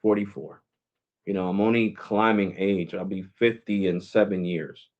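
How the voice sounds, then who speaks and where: A man's voice: low-pitched, steady tone, normal speed. Rambling man in his forties, lo-fi sound. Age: 40s. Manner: rambling, says "bro" and "guys" a lot; switches to Spanish with family. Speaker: live stream host. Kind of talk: casual live stream chat.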